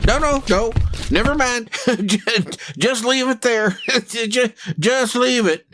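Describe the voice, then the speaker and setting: A man's voice: very high voice, slow, noisy background. A man playing a nervous, stammering townsman who waves a gun around, in a comedy audio drama. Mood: flustered. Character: jittery cowardly male townsman with a gun.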